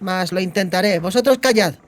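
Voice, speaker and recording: A male narrator puts on a very high, girlish voice for a young woman in a fantasy audiobook. She is brash and streetwise. Her talk is cheeky, slangy and foul-mouthed. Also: a little fast, lightly acted. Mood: wary, rattled.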